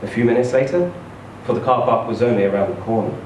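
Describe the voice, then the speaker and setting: A man reading a short story aloud in an expressive, theatrical, emphatic storytelling style. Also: medium pitch, fast. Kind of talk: expressive live literary reading. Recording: echoey room, distant mic, faint noise.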